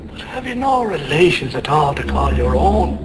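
A high-voiced, emotional Irish sailor. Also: male voice, very animated, normal speed, crowd noise. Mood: troubled, soothing. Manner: emotional, lilting, pleading. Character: anguished, devout Irish sailor comforting his shipmate. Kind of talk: radio drama acting. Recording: noisy background in radio play.

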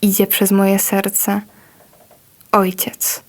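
Woman reciting poetry very slowly. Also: mid-range voice, completely flat delivery. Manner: slow, measured reading.

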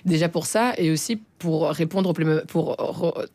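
A woman's voice: sits low, moderate pace, mellow, no echo. A young woman whose first language is not French, a guest answering interview questions in a radio studio with many fillers and restarts. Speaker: guest musician. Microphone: studio mic, headphones.

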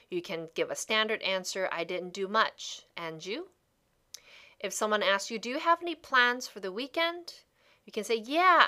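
A woman gives a slide-based English lesson in a bright, clear voice. She models each phrase slowly and repeats it for learners to practice. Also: medium pitch. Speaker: English teacher.

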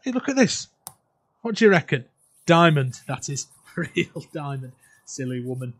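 An actor playing a miserly old uncle in an audio drama, querulous and scolding, often repeating himself. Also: male voice, a little high, very animated, normal speed, slightly rough, full character voice. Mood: gloating. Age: older. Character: miserly, crotchety, grumbling old uncle who hates Christmas.

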